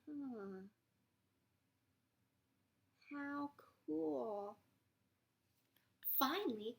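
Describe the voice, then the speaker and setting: Animated woman reading a storybook, unhurried. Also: high voice. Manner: animated, playful, often drops to whispers. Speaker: storybook reader. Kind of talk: expressive read-aloud to a young child with chatty asides.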